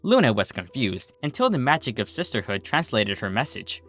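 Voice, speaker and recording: A man narrates a scripted story in a bright voice. His pitch moves in lively swings, and faint music plays behind him. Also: normal speed. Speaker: storyteller reading fan fiction aloud.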